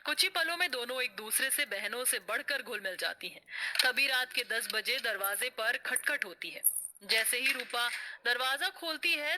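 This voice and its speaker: A woman's voice: high voice, background noise. A woman narrating a scripted story in a dramatic style.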